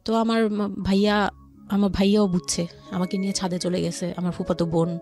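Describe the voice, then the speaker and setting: A woman's voice: medium pitch, flat delivery, fairly quick, a little mellow. Bangladeshi woman storytelling over music. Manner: quotes relatives' words as she narrates. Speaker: guest telling life story. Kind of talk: personal storytelling in conversation.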